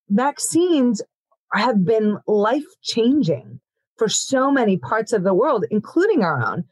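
A woman's voice: mid-range voice, dry recording, low-quality recording. Woman doctor explaining plainly, dry sound. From born in Ethiopia, raised in Africa. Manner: explanatory, steady, frequent "you know". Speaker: physician. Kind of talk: expert explaining in an interview.

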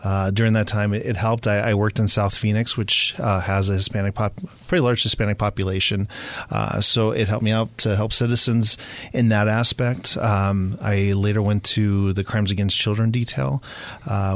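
A middle-aged American man, raised in Southern California with Spanish as his first language, speaks in a lowish voice with a close, dry studio sound. He is a police sergeant being interviewed, and he talks informally with halting phrasing. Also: level tone, no echo. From Southern California, United States. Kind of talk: casual conversational interview.

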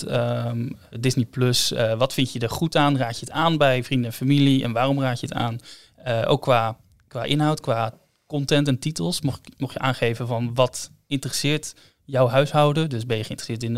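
A man talks casually as a podcast co-host. His phrasing is halting and hesitant, searching as he goes. His pitch sits in the middle and the sound is very dry. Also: fairly even intonation, clean tone, dry recording. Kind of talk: casual chat.

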